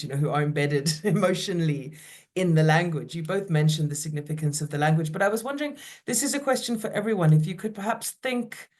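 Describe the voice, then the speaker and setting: A woman moderating an academic panel over a video call, asking long, measured questions. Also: sits low, a little mellow.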